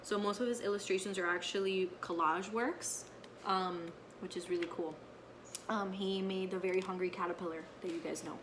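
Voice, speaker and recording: A woman teacher talking casually to her young pupils and reading a picture book aloud, often checking in with a quick okay or right. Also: medium pitch, steady tone, noisy background. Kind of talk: casual read-aloud with explanations to children.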